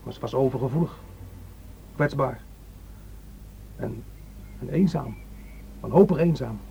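A defiant young man bent on revenge in a radio drama. He speaks in curt, scornful phrases at a middling pitch. The recording is dull with a little noise. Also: a little slow, vocal fry, mellow. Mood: bitter. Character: bitter, defiant, vengeful young man, a son. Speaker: actor. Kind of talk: Dutch radio play acting. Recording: dull, faintly noisy old radio recording.

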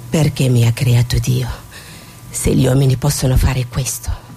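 Stage drama: actress as wounded, defiant Somali woman, deep and mellow, slight background noise. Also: steady tone, normal speed, creaky. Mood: anguished, haunted. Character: Somali woman, scarred by suffering, defiant and mocking. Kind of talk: stage monologue and dramatic dialogue.

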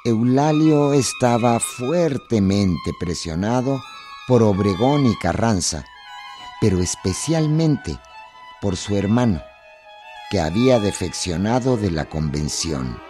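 A man's voice: on the low side, expressive, slow pace, dark tone, soft background music. A man narrating a scripted historical radio drama.

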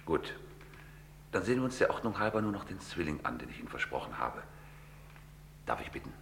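Unruffled, courtly swindler for vintage radio thriller; creaky bass, deadpan politeness, noisy old recording. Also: male voice, exaggerated voice. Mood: calm, calculating. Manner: ceremoniously polite, measured, deadpan. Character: suave, scheming gentleman dealer, formal and unruffled. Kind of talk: German radio crime drama acting, mid-20th century.

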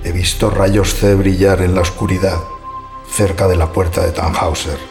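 An actor playing a solemn, melancholy dying android in radio theatre, grave and dramatic in delivery. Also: male voice, deep voice, little pitch movement, moderate pace. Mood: solemn, mournful. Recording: music clearly behind the voice.

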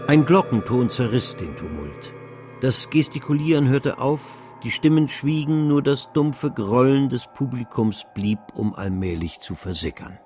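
A man narrating a literary radio drama in a measured, dramatic storytelling manner. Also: mid-range voice, sing-song, a little mellow. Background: music.

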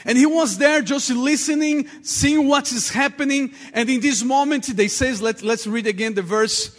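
A man with a Portuguese accent, a native Portuguese speaker, preaching a sermon earnestly in halting English with repeated words. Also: very high-pitched, fairly bright tone. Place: large reverberant church room. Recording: reverberant hall with long echo.

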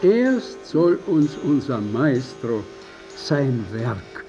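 An actor playing a genial, stately count who speaks with measured courtesy in a German radio drama. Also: male voice, mid-range voice, sing-song, lo-fi sound, over music, exaggerated voice. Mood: genial, placating.

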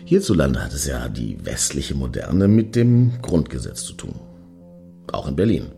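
A man acting in a satirical radio play as a brash, opinionated middle-aged club-goer with slangy, sarcastic talk and a gravelly voice. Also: sits low, dark tone, full character voice. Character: brash, randy, opinionated man queuing outside a Berlin club.

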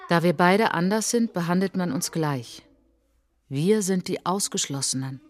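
A woman playing an introspective, wounded traveller who recalls an outcast childhood in a measured, poetic radio drama monologue, with a husky voice. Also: fairly low pitch, lively intonation. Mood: resigned. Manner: measured, deliberate, short phrases. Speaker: narrator and lead of an autobiographical radio play.

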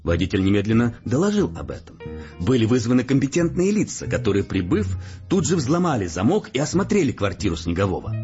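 An actor in a Russian audio play playing a police investigator who is smoothly menacing, officious and deliberate, with mock courtesy. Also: male voice, medium pitch, big pitch swings, warm tone, natural voice. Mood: officious, methodical. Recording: dull-sounding audio play with background music. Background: music.

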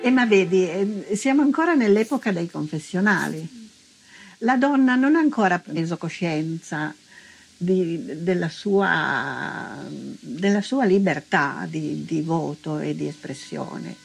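A woman's voice: on the low side, expressive, slow, creaky, husky. An older woman giving informal testimony in a hesitant, colloquial way, often repeating herself. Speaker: interviewee recalling her past.

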